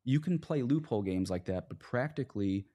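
A man's voice: mid-range voice, animated, a little fast, mellow. A man speaking as a guest in a conversational religious discussion, earnest and explanatory. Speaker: priest, podcast guest.

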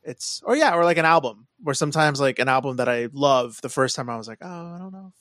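A man co-hosting a podcast, chatting casually about films in halting, repetitive phrases. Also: medium pitch, animated, fairly bright tone, no echo, clean studio sound. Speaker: podcast co-host. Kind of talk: casual film discussion.

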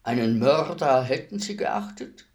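A state executioner in a radio drama. He is a man with a medium-pitched voice who speaks plainly and briefly. His manner is courteous and servile, quietly humble about his grim trade. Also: expressive, a little slow. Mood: businesslike. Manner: deferential, plain, terse. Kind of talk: German radio play acting.

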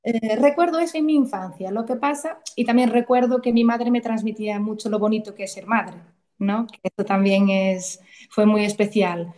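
A woman's voice: mid-range voice, moderate pace, warm tone. A woman in her thirties, originally from Portugal, speaking. She tells her story in a chatty, emotional way and often restarts her sentences, as a guest in a casual interview.

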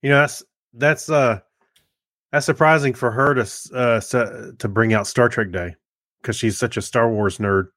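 A man co-hosting a podcast, chatting and reading segments in a halting, rambling, joking way. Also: medium pitch, steady tone, fairly bright tone, dry recording, clean studio sound. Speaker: podcast co-host. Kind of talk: casual chat and segment reading.

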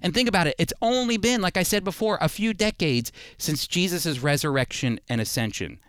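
A man's voice: high voice, animated, a little fast, fairly bright tone, clear, resonant tone, dry recording, studio quality. A man preaching a sermon, addressing listeners directly and repeating key points for emphasis. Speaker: preacher and Bible teacher.

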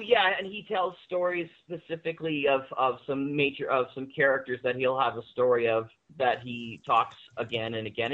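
Phone-line man, explaining with emphasis. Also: mid-range voice. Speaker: panel guest on audio drama. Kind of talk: conversational commentary. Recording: tinny, narrow phone-like line.

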